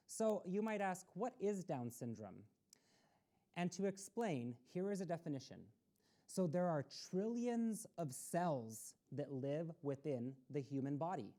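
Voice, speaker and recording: Low-pitched, expressive woman reading to children. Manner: simple, instructive phrasing with questions posed to young listeners. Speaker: story time host reading a picture book to children. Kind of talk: read-aloud storytime with explanatory asides.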